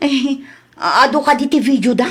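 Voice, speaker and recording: Casting: woman as sneaky, cheeky wife for radio comedy drama, very high pitch, playful wheedling delivery. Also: expressive, moderate pace, husky. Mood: feigned innocence. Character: sly, cheeky thieving wife.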